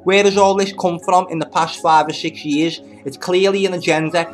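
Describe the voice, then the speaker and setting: Scornful higher-pitched man over music. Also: fairly even intonation, normal speed. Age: 30s. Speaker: host and commentator. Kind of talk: opinionated scripted commentary. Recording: music playing under the voice.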